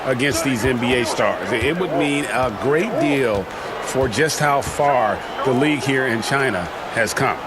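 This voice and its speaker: A man's voice: medium pitch, a little slow, a loud crowd, close to an everyday voice. A man playing an engaged basketball broadcast analyst, giving conversational color commentary in a video game.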